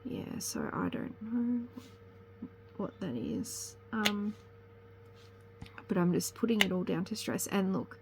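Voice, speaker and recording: A woman's voice: medium pitch, animated, takes it slowly, slight background noise, low-quality recording. Chatty, slightly sniffly woman. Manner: rambling, chatty, self-correcting. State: sniffly with a cold. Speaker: crafting channel host. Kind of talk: casual chat to camera.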